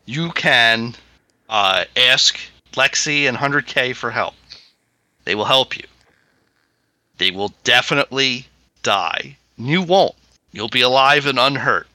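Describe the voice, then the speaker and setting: A man running a tabletop game as game master, narrating in a laid-back, hesitant way with many pauses. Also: medium pitch, expressive, bright, forceful tone, no echo.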